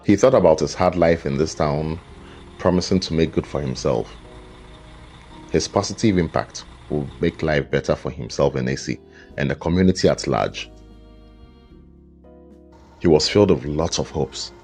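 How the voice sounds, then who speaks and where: Male narrator, very low-pitched voice, scripted folktale storytelling, measured and dramatic, guitar music behind. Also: darkish tone. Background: background music with guitar.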